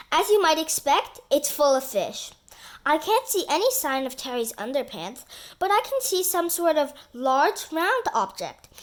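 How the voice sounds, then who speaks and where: A woman reading a children's book aloud in an expressive, storytelling manner. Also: high-pitched. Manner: expressive, shifts into character voices for dialogue. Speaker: storybook reader.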